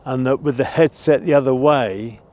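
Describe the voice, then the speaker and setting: A man giving an informal gear review, explaining things in a measured, careful way. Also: mid-range voice, a little mellow. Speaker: presenter reviewing and testing gear. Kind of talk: informal product review commentary.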